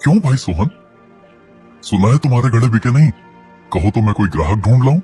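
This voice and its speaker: A man's voice: mid-range voice, animated, brisk, warm tone, voice pushed up, lightly acted. A man voicing a gossipy village shepherd in a cartoon who mocks two friends. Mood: teasing. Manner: teasing, sly. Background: faint music.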